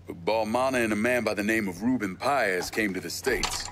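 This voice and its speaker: An actor playing a tough, blunt man of action in a video game, businesslike and quick to swear. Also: fairly low pitch, background noise, natural voice. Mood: focused. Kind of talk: video game cutscene acting.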